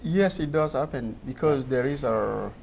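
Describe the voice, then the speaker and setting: A man in his thirties from Guinea, a native French speaker, answering interview questions in an earnest, measured way. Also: medium pitch, lively intonation, creaky, a little mellow, a little hiss or hum. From Guinea, West Africa. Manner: earnest, measured, laughs easily. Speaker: guest.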